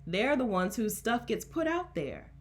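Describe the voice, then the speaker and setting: A woman's voice: on the high side, very animated, measured pace, soft background music. A woman from Delaware, a coach hosting a casual livestream and talking to her chat in an emphatic, chatty way, laughing often. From Delaware, USA. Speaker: coach and host.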